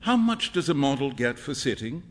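A man's voice: medium pitch, very animated, normal speed, in a large hall, close to an everyday voice. A man voicing a kind-hearted, naive and impulsive young friend in a storybook, speaking earnestly and expressively. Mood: curious. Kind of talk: acted storybook dialogue.

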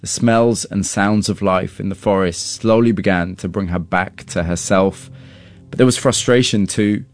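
A man's voice: sits low, fairly even intonation, moderate pace, no echo. A man hosting an interview, moving between scripted storytelling narration and questions to his guests.

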